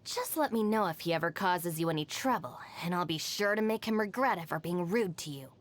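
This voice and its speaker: A woman's voice: fairly low pitch, lively intonation, brisk, lightly acted. An actress playing a level-headed, self-reliant young woman in a video game, speaking in a composed, dry, matter-of-fact way. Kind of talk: scripted video game character voice lines. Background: background music.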